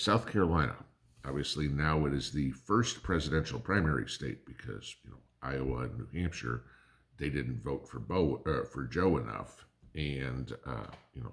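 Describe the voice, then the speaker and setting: A hoarse man in his fifties, a prepping channel host, talking bluntly in a casual opinion monologue and often tagging "okay?". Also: extremely low pitch. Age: 50s. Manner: blunt, combative, repeats "okay?".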